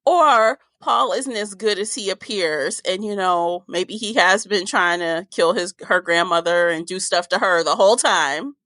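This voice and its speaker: A woman's voice: mid-range voice, a little slow, hardly any pauses, bright, forceful tone, no room sound. A woman podcast host chatting casually about a book in an opinionated, informal way. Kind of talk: casual book discussion chat.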